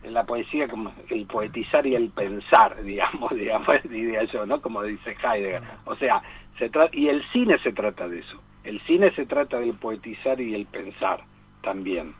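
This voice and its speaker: Husky man over a tinny line. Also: lowish voice, moderate pace. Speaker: film expert guest. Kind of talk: casual radio discussion of cinema. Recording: tinny phone-like radio line.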